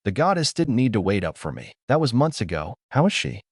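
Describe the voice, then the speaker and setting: A man's voice: medium pitch, very animated, a little fast, a little mellow, husky, dry recording, studio quality. A man reading a fan fiction story aloud in the first person, earnest and reflective. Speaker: story narrator.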